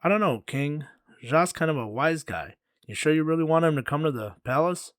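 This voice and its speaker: A man's voice: fairly high pitch, expressive, brisk, no room sound, clean studio sound, pitched above the performer's own voice, close to an everyday voice. A man voicing a wary, easygoing servant of a king in a folktale, slangy and matter-of-fact. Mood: doubtful.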